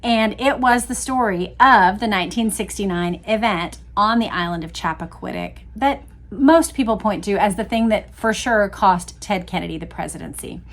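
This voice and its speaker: A woman's voice: mid-range voice, moderate pace. A woman host reading aloud from a book and commenting casually, in a conversational, explanatory way to her listeners.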